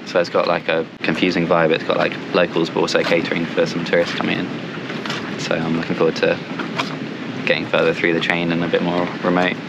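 Young man, very low, flat, easygoing, boat deck outdoors, wind noise. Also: brisk, gravelly, lo-fi sound. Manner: low, flat, easygoing talk with many likes. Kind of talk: casual vlog to camera.

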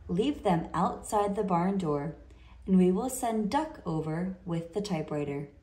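A woman voicing polite but stubborn farm cows in a children's storybook, with measured, formal phrasing. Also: on the low side, animated, warm tone. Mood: formal bargaining. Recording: roomy indoor echo.

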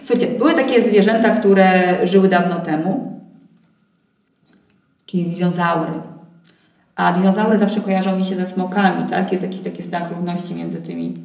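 A woman in her thirties leading a warm-up exercise in an encouraging, playful, conversational way, often checking in with short tag questions. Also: lowish voice, fairly even intonation, normal speed, creaky, long pauses. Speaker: voice warm-up instructor. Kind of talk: guided exercise instruction, conversational. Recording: roomy echo, distant camera microphone.

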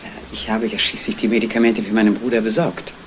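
An actress playing a bereaved sister in a radio crime drama, halting and earnest, shaken but insistent. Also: female voice, very deep voice, flat delivery, a little hiss or hum. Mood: firm. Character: bereaved sister, former nurse, shaken but firm woman. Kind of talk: 1970s German radio crime drama acting.

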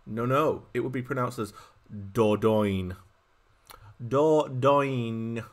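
A young man from Yorkshire talks loosely to his stream chat, rambling from one aside to the next and poking fun at himself. He speaks at an ordinary middle pitch into a desk mic at home. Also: slow pace. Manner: chatty, rambling, self-deprecating asides. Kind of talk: casual live-stream chat. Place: home room.

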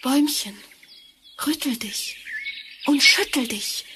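High-voiced, slow, dreamy Cinderella. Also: female voice, background noise. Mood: awed, dreamy. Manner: dreamy, declaimed. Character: gentle, wistful young Cinderella. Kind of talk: German fairy-tale radio play acting. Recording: vinyl record transfer with clear music.

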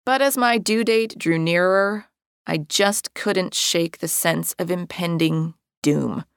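A middle-aged American woman reading her own personal memoir as an audiobook in a measured, articulate way. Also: fairly low pitch, vocal fry, clear, resonant tone, no echo, studio quality. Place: dry recording studio.

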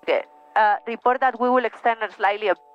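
Woman, Spanish accent, high voice, flight instructor, muffled cockpit intercom, music behind. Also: fast talker, clean tone. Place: small plane cockpit in flight. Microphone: aviation headset boom mic.

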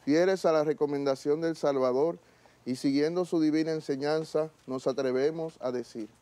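A priest in his thirties recites the mass prayers in a measured, solemn cadence. His voice is mellow and on the higher side for a man. It is picked up closely on a lapel mic in a small chapel. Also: steady tone. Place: small chapel studio, indoors.

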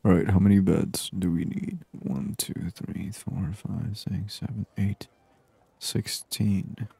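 Very dark, hushed low male ASMR voice. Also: steady tone, takes it slowly, no echo. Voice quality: soft, hushed, breathy voice. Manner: murmured thinking aloud in short phrases. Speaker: gaming streamer. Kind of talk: soft-spoken ASMR game commentary. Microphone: close binaural microphone.

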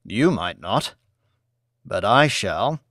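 A man's voice: on the low side, sing-song, slow, sharp tone, no echo, studio quality, heavily acted. A man voicing a coolly scheming executive in an audiobook, insistent and decisive as he gives orders. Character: commanding, coolly scheming male executive newly in power.

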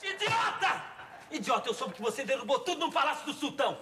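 A man's voice: very high-pitched, sing-song, fairly quick, bright, forceful tone. A Brazilian man scolding his partner in a comedy sketch. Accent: Brazilian Portuguese. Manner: exasperated scolding. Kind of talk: comic sketch banter.

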